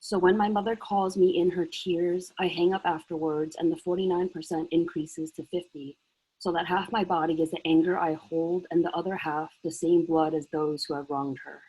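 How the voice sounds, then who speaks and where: A woman's voice: fairly low pitch, fairly even intonation, moderate pace, warm tone, slightly rough, rough recording. A woman reading her own prose poem on a video call, intense and emphatic. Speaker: workshop participant.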